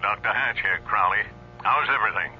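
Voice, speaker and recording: A man's voice: fairly low pitch, a little fast. An actor in a radio drama playing a breezy, dismissive senior doctor, his voice filtered as if on the telephone. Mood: dismissive, casual. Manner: offhand, breezy. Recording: dull telephone-filtered voice, old radio, faint hum.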